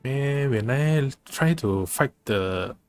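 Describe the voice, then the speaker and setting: A man giving casual live game commentary as a streamer, halting and often rephrasing himself. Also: medium pitch, animated. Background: game music.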